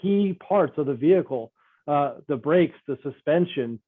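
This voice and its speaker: A man's voice: fairly high pitch, animated, unhurried. A man giving interview answers as a company spokesman, in a thin phone-like recording.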